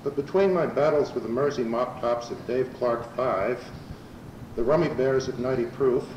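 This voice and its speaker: A man's voice: mid-range voice, recorded from a distance, muffled recording, close to an everyday voice. A man playing a mild, earnest bovine space adventurer in an amateur radio comedy, speaking plainly and matter-of-factly. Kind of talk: amateur old-time radio comedy acting. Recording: roomy amateur recording, faint noise.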